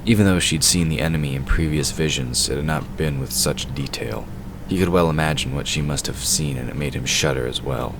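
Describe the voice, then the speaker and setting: A man's voice: a bass voice, fairly quick, hardly any pauses. A man reading scripted fantasy story narration for an audio drama. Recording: noisy hobby recording.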